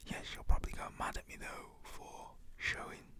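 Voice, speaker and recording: A man telling a story in a hushed, chatty whisper full of fillers. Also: normal speed.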